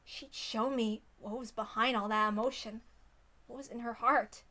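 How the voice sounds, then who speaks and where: A woman's voice: fairly high pitch, steady tone, moderate pace, pushed and bright. A woman reading a visual novel aloud as dramatic narration.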